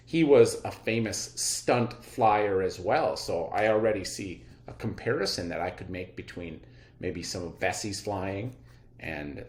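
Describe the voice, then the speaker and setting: A man teaching a read-aloud lesson, instructive, pausing to wonder aloud and put questions to his listeners. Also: on the low side. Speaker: elementary school teacher leading a reading lesson. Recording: roomy, echoing sound.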